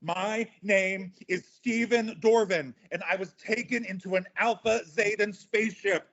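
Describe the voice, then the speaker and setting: A man's voice: high-pitched, fairly even intonation, unhurried, fairly bright tone, muffled recording, heavily acted. A man playing a frantic, pleading character in an audio play who stammers and claims to receive alien messages. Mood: urgent, earnest.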